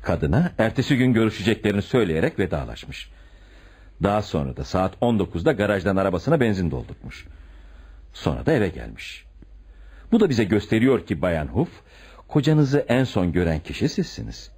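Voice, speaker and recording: An actor in a radio drama playing a blunt, suspicious police commissioner who questions curtly and sarcastically. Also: male voice, lowish voice, very animated, fairly quick, a little mellow, breathy edge, heavily acted. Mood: sarcastic, accusing. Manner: curt, sarcastic, interrogating. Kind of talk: radio theatre acting.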